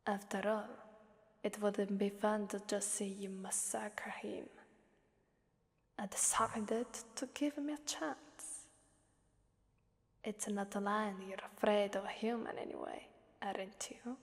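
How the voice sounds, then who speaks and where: A woman voicing a haughty, sadistic female Krampus demon in an ASMR roleplay, soft and often whispering, taunting and commanding. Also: mid-range voice, steady tone, slow. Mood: bored, menacing. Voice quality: soft, breathy whispering in many lines. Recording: long cavernous reverb.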